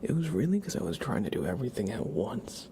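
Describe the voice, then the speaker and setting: A man speaking in a soft, gravelly whisper, chatting casually and gently as an ASMR live streamer greeting viewers. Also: on the low side, sing-song, fast, slight background noise. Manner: gentle, rambling chat with viewers and pet. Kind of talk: ASMR livestream casual chat.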